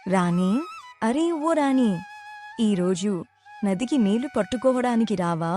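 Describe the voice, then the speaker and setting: A woman voicing a kind, soft-hearted young village woman in a cartoon story, polite and soothing. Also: medium pitch, animated, a little slow, soft background music, close to an everyday voice. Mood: friendly. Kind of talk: cartoon moral story dialogue.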